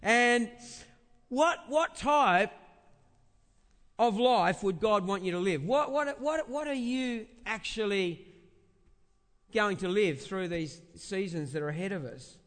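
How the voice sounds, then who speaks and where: A man's voice: sits high, lively intonation, fairly bright tone. A man with a big voice, preaching a conversational sermon as a pastor, repeating phrases and asking rhetorical questions. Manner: halting repetition of phrases for emphasis, rhetorical questions. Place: large indoor church space. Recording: reverberant hall sound.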